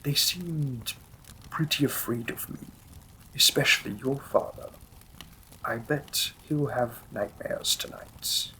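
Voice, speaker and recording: Demon king lover played by a man, male, creaky, unhurried, intimate, formal, teasing, devoted, fantasy audio roleplay, faint background noise. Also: mid-range voice, low-quality recording. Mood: tender, protective. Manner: slow, intimate, formal wording, gently amused.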